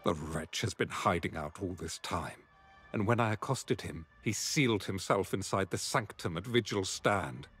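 An actor playing a grave, dutiful knight in a video game, speaking formally and solemnly. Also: male voice, low-pitched, expressive, normal speed, a bit bright, breathy edge, full character voice. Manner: formal, solemn, archaic phrasing. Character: grave, dutiful male knight ally giving a quest. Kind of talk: scripted video game quest dialogue. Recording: game music behind the voice.

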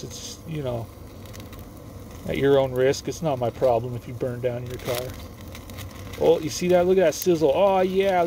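A man's voice: a little high, warm tone, a little hiss or hum. Rambling man cooking in his car. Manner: rambling, self-mocking, offhand. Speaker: vlogger cooking on camera. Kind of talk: casual joking how-to vlog. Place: inside a parked car, door open. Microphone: phone held or propped nearby. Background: sizzling camp stove.

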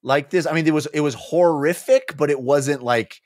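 A man's voice: a little high, moderate pace, no room sound. A man in his forties co-hosting a book club podcast, talking casually and emphatically into a close mic. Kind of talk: casual animated book discussion. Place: small home office, indoors. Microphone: close podcast mic on boom arm.

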